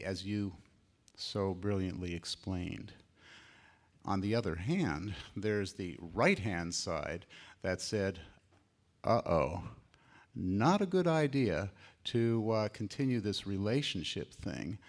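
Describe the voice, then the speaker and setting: A man from California shares at length at a seminar, earnest and reflective. He pauses often on uh as he gathers his thoughts. His voice sits fairly low. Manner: earnest, reflective, many uhs. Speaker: seminar participant. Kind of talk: personal sharing at a seminar.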